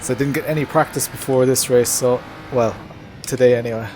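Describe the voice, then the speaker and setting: A man's voice: mid-range voice, fairly even intonation, fairly quick, a little mellow. A man streaming a racing game with casual, joking live commentary over car noise. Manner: joking, self-amused. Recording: noisy, car engine sound.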